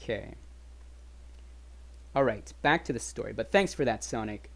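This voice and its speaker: Male streamer: informal, a little hesitant, lively intonation, casual commentary. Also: mid-range voice, normal speed, creaky. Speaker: streamer reading aloud. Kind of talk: casual livestream commentary and story reading. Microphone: webcam stream setup.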